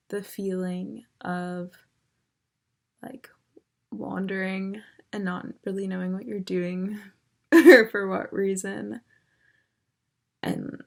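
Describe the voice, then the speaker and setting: A woman poet reading her work aloud in a soft, quiet, measured voice over a video call from home. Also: mid-range voice, gravelly. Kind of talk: poetry reading.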